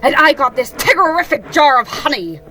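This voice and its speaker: A woman's voice: high-pitched, big pitch swings, normal speed, bright, forceful tone, recorded from a distance, slight background noise, pitched above the performer's own voice, full character voice. A woman voicing a bouncy, friendly, outspoken tiger with an emphatic storybook delivery.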